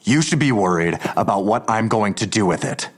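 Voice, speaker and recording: Low-voiced, menacing police detective. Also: male voice, expressive. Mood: quietly threatening. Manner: controlled, insinuating, unhurried questioning. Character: menacing male police detective, coolly taunting and controlled. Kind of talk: audio drama acting.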